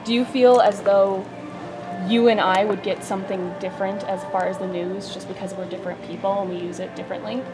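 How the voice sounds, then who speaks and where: A woman's voice: medium pitch, fairly even intonation, fairly quick, over loud music, low-quality recording. A young woman student interviewing passers-by, asking casual questions with many fillers. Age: young adult.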